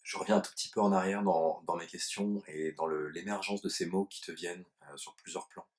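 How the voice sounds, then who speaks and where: A man interviewing a guest, asking informal questions in a halting way with frequent restarts. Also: low-pitched, fairly even intonation.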